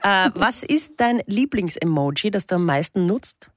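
A woman, co-hosting a radio show and reading absurd horoscopes in a mock-earnest, deadpan way. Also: medium pitch, moderate pace, no room sound, clean studio sound.

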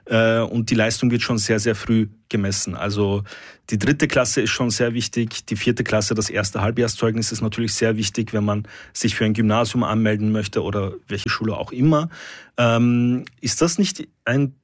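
A man's voice: fairly low pitch, steady tone, normal speed, dry recording, studio quality. A man hosting a discussion, asking long questions with many fillers. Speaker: host. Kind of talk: talk show discussion.

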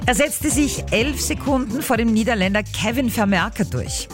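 A woman from Styria with an Austrian accent, presenting a sports news report in a lively, chatty way. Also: on the high side, moderate pace, soft background music. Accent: Austrian German.

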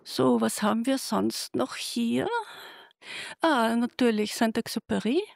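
A woman asks curious questions and guides the conversation along. Her voice is on the high side with a lot of gravelly fry, and it sounds dry and close. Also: steady tone, measured pace, dry recording. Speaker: interviewer. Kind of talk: gallery interview.

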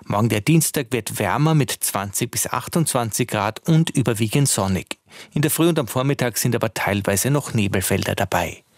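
A man's voice: mid-range voice, animated, normal speed, no room sound, studio quality. A man with an Austrian accent reading a scripted weather forecast on the radio. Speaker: weather presenter.

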